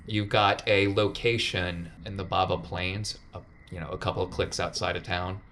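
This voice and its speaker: Male game master, low voice, wry casual narration, faint background noise. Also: little pitch movement, normal speed, a bit bright.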